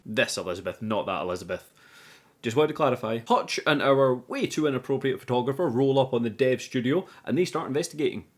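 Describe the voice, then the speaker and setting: A man's voice: medium pitch, animated, quick pace. A man hosting a film review, narrating a scripted recap with irreverent, sweary, teasing commentary.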